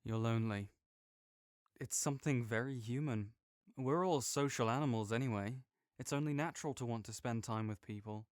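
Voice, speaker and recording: A young man playing a caring, easygoing friend who speaks gently and reassuringly to the listener in an audio roleplay, with some soft joking. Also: medium pitch, moderate pace, dry recording, studio quality, close to an everyday voice.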